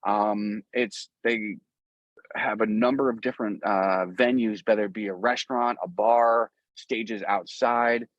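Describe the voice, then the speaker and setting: A man's voice: fairly low pitch, steady tone, unhurried, no echo, highs cut off. A man speaking casually and informally as a musician guest in an interview, rambling a little as he goes.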